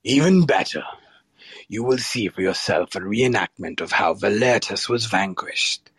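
Audio drama villain: sadistic alien warlord. Low, slow, theatrical, gloating, rasping. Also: male voice, lively intonation, a bit bright, dry recording, exaggerated voice. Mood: smug anticipation. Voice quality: gravelly, raspy. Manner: slow, grandiose, taunting villain delivery.

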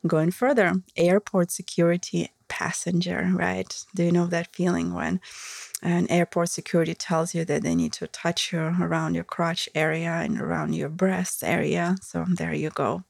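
A woman's voice: lowish voice, normal speed, vocal fry, no echo, clean studio sound. A woman with a Russian accent, hosting a podcast and speaking frankly and casually in a candid, matter-of-fact monologue. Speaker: podcast host and intimacy educator.

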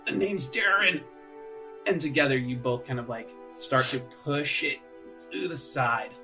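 A man narrates a tabletop roleplaying game as its game master. His voice sits at a middle pitch, and he builds descriptions haltingly as he goes. Music plays clearly behind him. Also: bright, forceful tone.

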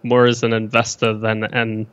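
Australian man in his thirties, podcast guest, steady monotone delivery, dry and slightly dull call audio. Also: lowish voice, moderate pace, clear, resonant tone, no echo. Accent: Australian with some New Zealand. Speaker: guest, business broker. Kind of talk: casual podcast interview.

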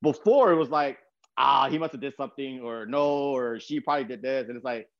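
A man's voice: medium pitch, fairly quick, dry recording. A young American man from Texas hosting a podcast, talking casually in a rambling, slangy way, with a slightly muffled sound. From Texas, USA. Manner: informal slangy speech, rambling. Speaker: podcast host. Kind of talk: casual conversational talk.

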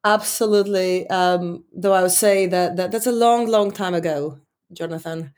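Low-voiced Romanian-born woman, thoughtful. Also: moderate pace, darkish tone. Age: 40s. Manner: thoughtful, repeats words, many fillers. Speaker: company leader, guest. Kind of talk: interview conversation.

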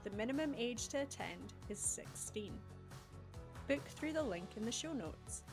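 A woman's voice: on the high side, lively intonation, measured pace, over music. A woman hosting a podcast, reading out scripted announcements and introductions. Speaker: podcast host.

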